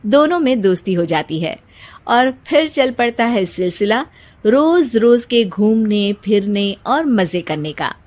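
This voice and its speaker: Woman, storyteller, scripted narration, lively intonation, unhurried pace. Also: mid-range voice. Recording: music behind the voice. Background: music.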